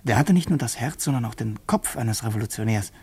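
A guarded revolutionary narrates in the first person for a radio drama. His voice is husky and mid-pitched, with brooding, deliberate literary phrasing. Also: animated, darkish tone. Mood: confiding, reflective.

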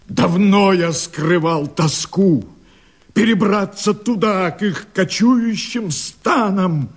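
A man's voice: high voice, vocal fry, husky, heavily acted. A man acting a desperate, fiery rebel leader in a radio play, declaiming verse in an impassioned, oratorical way. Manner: impassioned, oratorical, drawn-out phrasing. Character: fiery desperate male rebel leader rallying his men.

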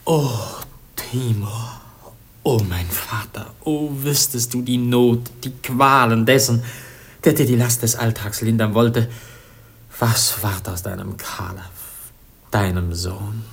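An actor playing a lovesick young prince, declaiming with solemn, anguished fervour in a radio play. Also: male voice, mid-range voice, slow, creaky, husky, heavily acted. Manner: solemn, fervent, lofty declamation.

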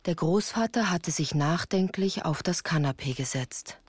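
A woman's voice: lowish voice, lively intonation, measured pace, husky. A woman reading scripted literary narration as the narrator of a radio play. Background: music.

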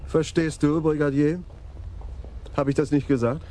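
An actor playing a sardonic, stubborn senior police detective in a radio drama. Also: male voice, fairly high pitch, little pitch movement, normal speed, mellow, a little hiss or hum, lightly acted. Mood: sardonic, insistent. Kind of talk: 1980s radio drama acting.

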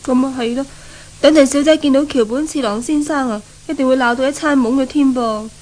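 A woman's voice: high voice, brisk, a little mellow, close to an everyday voice. A woman acting a timid, cautious but chatty maidservant in a 1970s Cantonese radio drama, meek in manner, with a Hong Kong Cantonese accent. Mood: confiding, gossipy.

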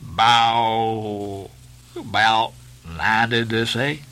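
A man's voice: on the high side, expressive, a little slow, pushed and bright, pitched above the performer's own voice. A man voicing a sullen ninety-year-old ship's cook in a novel, muttering and growling in grudging dialect. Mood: testy.

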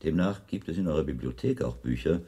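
Very deep, mellow monk investigator. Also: male voice, lively intonation, slightly rough, full character voice. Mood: probing, insistent. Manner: deliberate, questioning, formal. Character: calm, probing learned monk investigating a death. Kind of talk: 1980s German radio drama acting.

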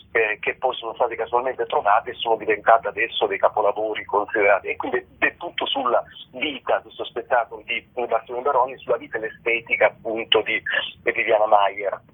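A man being interviewed as a festival curator, explaining a programme off the cuff in a halting, wordy way over a telephone line. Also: medium pitch, husky. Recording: muffled narrowband telephone line on radio.

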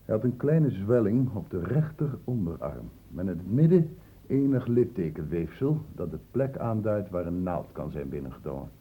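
An actor playing a stern, probing doctor in a Dutch radio drama, with measured, clinically precise questioning. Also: male voice, sits low, sing-song, mellow, husky, heavily acted. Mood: grave, accusing. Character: probing, stern male doctor, calmly cornering a suspect. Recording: muffled phone-like old radio recording.

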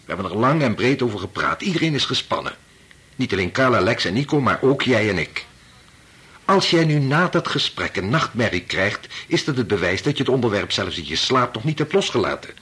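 Rough-voiced, weary husband, swinging pitch. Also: male voice, fairly bright tone, heavily acted. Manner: dismissive, reasoning, sighing interjections. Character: weary, sensible doctor husband, patronising and increasingly impatient. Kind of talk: Dutch radio play acting.

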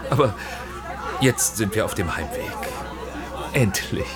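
A man's voice: fairly low pitch, animated, takes it slowly, over a noisy crowd. An actor playing a guarded middle-aged film director and father who answers carefully and evasively in a radio drama.

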